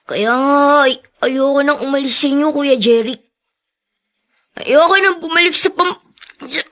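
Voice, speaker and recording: A woman's voice: high-pitched, measured pace, a bit bright. A woman with a Filipino accent playing a sweet, timid young street boy with a secret, in a radio drama. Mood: hesitant, anxious.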